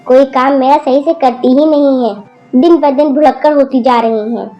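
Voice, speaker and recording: A woman's voice: sits high, steady tone, moderate pace, warm tone, highs cut off, soft background music, close to an everyday voice. A woman voicing a spoiled college-age daughter who snaps and whines rudely at her mother. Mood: snapping. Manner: whiny, snapping, rude.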